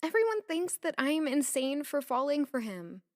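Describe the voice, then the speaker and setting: A woman's voice: high voice, very animated, normal speed, no echo, studio quality. A young woman acting an earnest, hesitant palace maid who is lovestruck and unsure in a fantasy audio drama.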